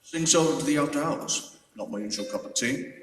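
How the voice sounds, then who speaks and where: A man playing a shifty lowlife street informant in a noir radio drama, slangy and ungrammatical. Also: brisk, natural voice. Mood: evasive. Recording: echoey hall, distant mic.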